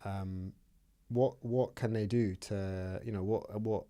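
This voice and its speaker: A man hosting a relaxed chat, rambling easily with frequent restarts. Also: lowish voice, takes it slowly. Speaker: podcast host. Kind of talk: casual chat.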